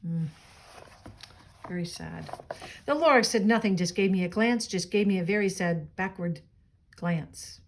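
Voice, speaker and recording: A woman reading a children's story aloud expressively, pausing for simple asides to young listeners. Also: lowish voice, a little hiss or hum. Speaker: storybook reader.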